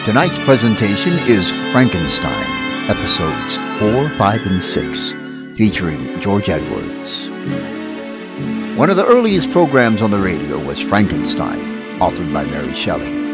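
Deep, animated male radio host over music. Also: unhurried. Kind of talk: scripted narration introducing old-time radio. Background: loud music bed.

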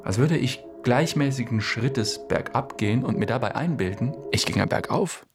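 A man's voice: mid-range voice, expressive, normal speed, music in the background, lightly acted. A man in his forties playing a man who faces death, speaking a reflective, anguished monologue in an audio drama. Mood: bitter, anguished. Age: 40s. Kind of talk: theatrical audio drama monologue over music.

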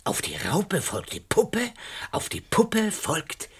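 Grave lodger guy in a radio play, slow husky breathy voice, full of quiet dread. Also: fairly high pitch, huge pitch swings, full character voice. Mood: grave, awed. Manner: halting, hushed, grave, deliberate. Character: earnest male lodger and butterfly breeder, awed by dread. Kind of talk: German radio drama acting.

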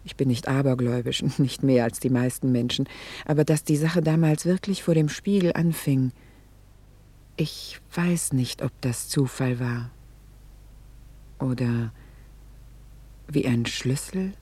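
An actress playing a reflective, vain middle-aged wife in a radio play, hesitant and confiding, with dramatic pauses and breathy near-whispers. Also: female voice, rumbling low, steady tone, mellow, no room sound, exaggerated voice. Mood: haunted, confiding. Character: reflective, vain, self-reproaching middle-aged wife confessing her memories. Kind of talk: intimate German radio play monologue.

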